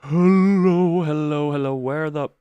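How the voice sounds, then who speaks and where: A man's voice: on the high side, unhurried, clear, resonant tone, dry recording, lo-fi sound. A man chatting casually and goofily as a game streamer, rambling and often interrupting himself. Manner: goofy, rambling, self-interrupting. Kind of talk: casual livestream chat while setting up a game.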